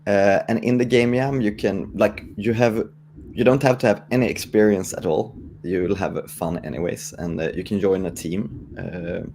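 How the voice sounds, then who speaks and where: A man's voice: lowish voice, steady tone, normal speed, a little mellow. A man with a Swedish accent, chatting casually on a panel as a guest developer. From Sweden. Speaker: guest developer promoting a game jam. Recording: faint background noise.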